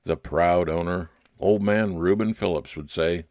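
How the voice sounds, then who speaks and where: A man playing a private investigator who narrates his case in old-time radio style, with deliberate dramatic pauses. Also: low-pitched, a little mellow, no room sound. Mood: reflective. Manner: deliberate, dramatic pauses, stretched descriptive phrases.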